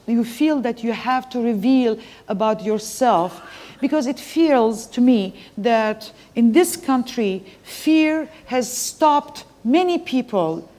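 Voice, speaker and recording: A woman from Lebanon, speaking as an interviewer at a live public event. Her voice sits a little high and she talks in an earnest, reflective way, often pausing as she searches for the right words. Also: dark tone.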